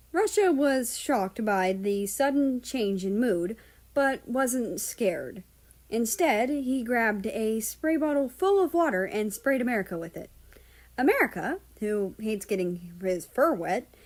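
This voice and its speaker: A woman's voice: on the high side, darkish tone. A woman reading a third-person fan fiction story aloud as a narrator.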